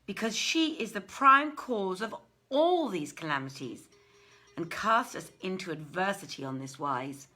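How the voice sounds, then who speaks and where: A woman reading an old literary tale aloud in a theatrical, measured and deliberate manner. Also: lowish voice, bright, forceful tone. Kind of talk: dramatic literary story reading.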